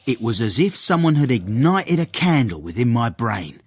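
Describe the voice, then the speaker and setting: An actor playing a young English manservant, deferential, measured and droll, acting and narrating in a period radio drama. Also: male voice, mid-range voice, very animated, breathy edge. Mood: droll narration. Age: young adult. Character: discreet, respectful, ambitious young English manservant. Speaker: servant and narrator.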